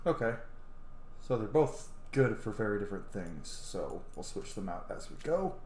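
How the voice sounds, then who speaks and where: A man's voice: fairly low pitch, a little mellow. A man hosting a gaming channel, giving casual commentary and rambling as he thinks aloud while playing. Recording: roomy echo, clear background noise.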